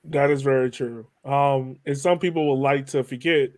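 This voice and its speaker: A man's voice: medium pitch, steady tone, moderate pace, a little mellow, dry recording. A man hosting a podcast, talking in a casual, conversational interview style. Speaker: podcast host.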